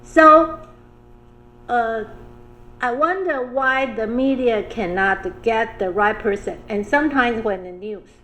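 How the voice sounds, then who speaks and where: A woman public health official, speaking on a panel in an animated way, with laughing asides and phrasing. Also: a little high, slow pace, low-quality recording. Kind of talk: roundtable panel discussion.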